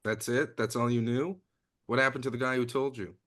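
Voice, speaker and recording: A man's voice: medium pitch, fairly even intonation, brisk, a bit bright, natural voice. A man playing an apologetic, awkwardly caring secret agent in a comedic script table read. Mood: probing.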